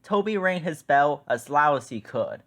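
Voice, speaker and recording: A man reading a children's picture book aloud in a lively, expressive storytelling manner. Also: a little high, normal speed, no echo, studio quality.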